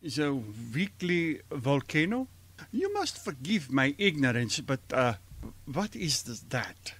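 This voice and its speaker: An actor playing a gentle, shy, earnest German professor with a halting manner and a German accent in a radio drama. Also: male voice, a little high, big pitch swings, slight background noise, heavily acted. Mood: bashful, friendly. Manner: hesitant, halting. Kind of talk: old-fashioned radio drama acting.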